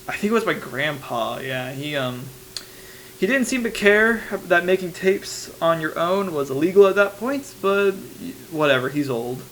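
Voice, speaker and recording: A man's voice: fairly high pitch, lively intonation, moderate pace, a bit bright. A young man playing an anxious investigative reporter, rambling hesitantly and informally into a private tape diary. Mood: wary, recounting. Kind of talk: analog horror monologue, confessional voice memo. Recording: noisy tape recorder with hum.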